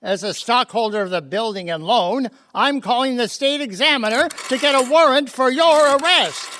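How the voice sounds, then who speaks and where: Radio villain, played by a man: old, rich, vindictive, very high-pitched threats, noisy recording. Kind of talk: live radio play acting. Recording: clear background noise.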